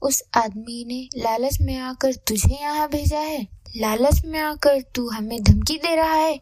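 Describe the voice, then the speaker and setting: A woman's voice: high-pitched, unhurried, darkish tone, no echo, studio quality. A woman voicing a stern, scolding eldest fairy in a Hindi cartoon story. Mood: stern, angry.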